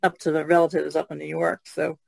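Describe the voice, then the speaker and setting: A woman's voice: deep voice, level tone, brisk, creaky, no echo. A woman chatting casually and informally as a co-host on a podcast. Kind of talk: casual chat.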